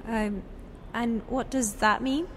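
A woman's voice: fairly high pitch, steady tone, takes it slowly, slight background noise. A woman playing a sceptical, down-to-earth adventurer's companion in a radio adventure drama, plain-spoken and cautious. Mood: worried, puzzled. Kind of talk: old-time radio adventure acting.

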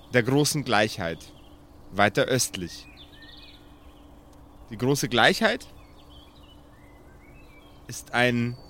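A man narrating an improvised pen-and-paper story as game master, measured, with dramatic pauses. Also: mid-range voice, fairly bright tone.

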